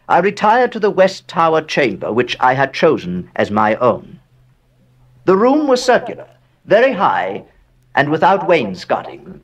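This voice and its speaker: An actor playing a haunted, aristocratic heir who recounts Gothic horror in a theatrical, deliberate way with dramatic pauses. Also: male voice, medium pitch, recorded from a distance. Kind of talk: dramatic horror narration, audio drama monologue.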